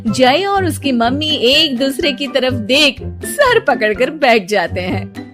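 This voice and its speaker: A woman with a fairly high voice narrates a scripted cartoon story as its storyteller. Faint music plays along with her narration. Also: very animated, slow.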